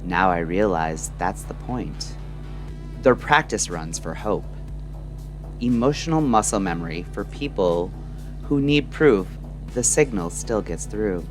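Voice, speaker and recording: A man delivering a scripted, introspective late-night radio monologue in measured, deliberate short phrases. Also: fairly low pitch, animated. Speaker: narrator and host of a late-night radio style audio show. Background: music.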